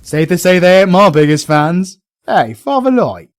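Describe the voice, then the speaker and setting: A man's voice: high voice, very animated, moderate pace. A British man playing a cocky, sarcastic villain with a flippant, teasing manner. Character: cocky, foul-mouthed, sarcastic villain forced into playing Scrooge.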